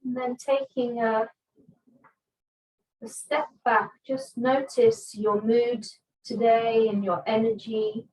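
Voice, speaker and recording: Slow, higher-pitched woman guiding yoga. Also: poor audio. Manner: calm, soothing, with long pauses. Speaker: yoga teacher. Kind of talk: guided restorative yoga instruction.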